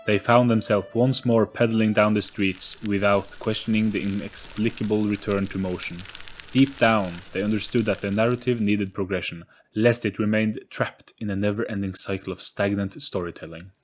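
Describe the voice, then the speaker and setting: A man's voice: fairly low pitch, steady tone, moderate pace, slight background noise. A man giving a scripted, dramatic narration of an audio story.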